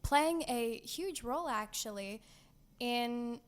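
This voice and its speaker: A woman's voice: fairly high pitch, lively intonation, slow pace, clear, resonant tone. A young woman hosting a casual podcast, chatting about a video game in a playful, gushing, enthusiastic way. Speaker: podcast host.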